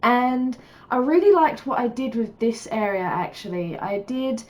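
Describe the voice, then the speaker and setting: A woman talks over her gameplay, her voice a touch higher than most and blocked up from a cold. She rambles with plenty of ums and little laughs, sounding relaxed and chatty. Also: unhurried. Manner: rambling and chatty, lots of fillers, laughs often. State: stuffed up with a cold, sniffling. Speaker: gaming YouTuber. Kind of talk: casual voice-over commentary on a building game.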